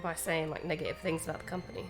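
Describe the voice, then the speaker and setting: A woman's voice: deep voice, steady tone, racing, music in the background. A woman chatting casually as co-host of a live game stream.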